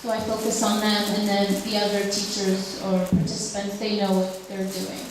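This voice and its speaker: A woman's voice: medium pitch, monotone, normal speed, a little hiss or hum. A woman from Ukraine, a drawing teacher answering audience questions at a public talk, speaking hesitantly with many fillers and restarts. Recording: echoey hall, speaker far from mic.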